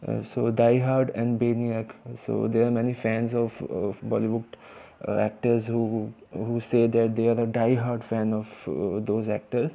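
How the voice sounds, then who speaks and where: A man's voice: mid-range voice, level tone, a little slow, vocal fry, warm tone. A man with an Indian accent teaching English vocabulary in a casual, hesitant way, with many fillers and restarts. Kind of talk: informal vocabulary lesson.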